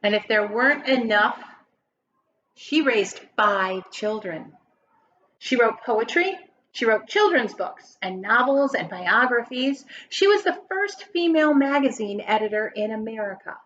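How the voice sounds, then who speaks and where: A woman with a fairly high voice reads a picture book to children. Her delivery is expressive and emphatic, leaning on key words and turning to her listeners as a teacher would. The recording is dull and lo-fi, with some noise underneath. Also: a little slow. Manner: emphatic, dramatic phrasing with rhetorical questions to listeners. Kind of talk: expressive children's story read-aloud.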